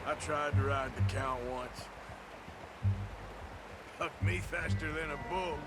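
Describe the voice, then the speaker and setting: A man's voice: fairly high pitch, slow pace, very noisy. An actor playing a weary, sardonic but loyal American outlaw gunman in a Western game, with a dry, laconic drawl. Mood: reflective. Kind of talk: naturalistic Western game acting. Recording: loud music behind the voice.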